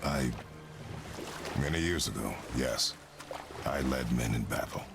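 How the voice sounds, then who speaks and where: An actor playing a stern, weary warrior father in a video game, terse and commanding, with formal wording and a gravelly voice. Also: a bass voice, lively intonation, unhurried, noisy background, full character voice. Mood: measured, solemn. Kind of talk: video game cinematic acting.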